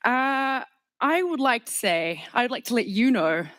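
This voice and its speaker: A woman's voice: a little high, big pitch swings, measured pace, a bit bright. A woman in her thirties with a New Zealand accent, hosting a live event from a stage mic in a large hall, chatty and jokey with frequent laughs. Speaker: master of ceremonies.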